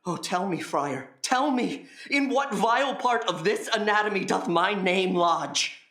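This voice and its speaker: A woman's voice: mid-range voice, lively intonation, measured pace, fairly bright tone. A woman playing a lovesick, impassioned young man in classical Shakespearean stage style. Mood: anguished. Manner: impassioned, poetic. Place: indoor performance space. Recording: echoey stage recording.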